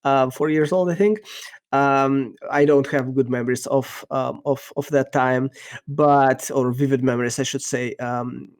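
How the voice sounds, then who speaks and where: Halting Russian-native man, thinking aloud. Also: medium pitch, steady tone. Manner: thoughtful, halting, many ums and restarts. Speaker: podcast guest, software engineer. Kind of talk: casual interview answers.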